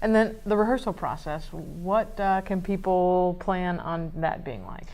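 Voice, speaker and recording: Slightly low-voiced woman interviewer. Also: expressive, a little slow, darkish tone. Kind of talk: conversational promotional interview.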